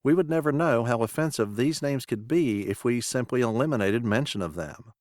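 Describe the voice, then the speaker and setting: A man reading a scripted podcast introduction as host, in a measured, formal announcing manner. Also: medium pitch, lively intonation, a little mellow, dry recording, clean studio sound.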